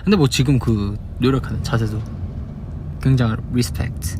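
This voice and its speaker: A man's voice: mid-range voice, moderate pace. A young man from Busan with a Busan Korean accent, chatting casually in a livestream, answering fan questions and demonstrating dialect phrases. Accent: Busan Gyeongsang Korean. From Busan, South Korea. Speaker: idol livestream host. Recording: steady car noise behind.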